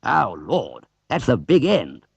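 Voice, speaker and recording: Man doing a stammering British gentleman racer. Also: mid-range voice, huge pitch swings, slow, vocal fry, breathy edge, dry recording, heavily acted. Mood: dismayed. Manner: stammering, exclamatory. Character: stammering, keen gentleman amateur racing driver.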